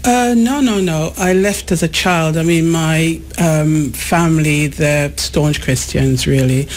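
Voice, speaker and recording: A woman's voice: low-pitched, takes it slowly, darkish tone, faint music behind. A woman, born in Jamaica, talking reflectively as a studio guest on a radio show. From born in Jamaica, raised in England. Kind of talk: conversational interview.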